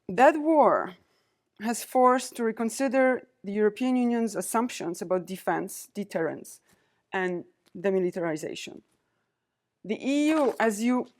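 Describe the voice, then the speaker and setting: Measured woman lecturing. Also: medium pitch, a little mellow. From Poland. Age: 40s. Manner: measured, deliberate delivery with pauses between listed items. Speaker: guest lecturer, diplomat. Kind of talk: prepared university lecture. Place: university lecture venue.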